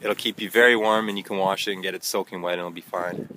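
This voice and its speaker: A man's voice: deep voice, fairly even intonation, fast. A young man casually narrating a hiking vlog outdoors into a handheld phone. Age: young adult. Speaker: hiking vlogger. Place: outdoors on a wet, windy mountain trail. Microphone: handheld phone at arm's length. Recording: windy, noisy handheld phone recording outdoors. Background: loud wind and rain noise.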